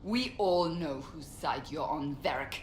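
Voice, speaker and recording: An actress playing a fierce, zealous warrior in a video game, intense and accusatory. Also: female voice, low-pitched, measured pace. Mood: bitter, accusing. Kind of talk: video game character acting. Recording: roomy echo, faint noise.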